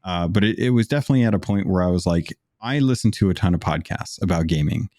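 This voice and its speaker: Deep-voiced man chatting casually. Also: fast talker, no echo, clean studio sound. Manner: relaxed, rambling with many restarts. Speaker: podcast guest. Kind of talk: casual conversation.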